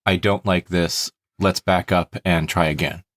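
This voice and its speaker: A man's voice: low voice, fairly even intonation, a little slow, a bit bright, dry recording, clean studio sound. A man co-hosting a podcast, chatting casually about stories. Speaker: podcast co-host.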